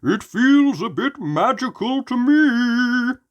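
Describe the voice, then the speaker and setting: A woman's voice: on the high side, lively intonation, slow, dull audio, voice pushed up. A woman voicing a jolly, bumbling father pig in a children's storybook, with a hearty manner. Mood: jovial. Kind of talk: read-aloud children's storybook voice.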